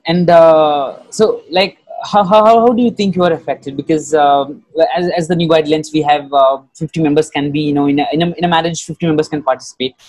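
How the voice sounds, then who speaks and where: A young man hosting a casual interview over a headset, halting and often repeating words. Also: a little high, fairly even intonation, a little mellow, no echo, low-quality recording. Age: young adult. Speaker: interviewer, host. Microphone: headset on video call.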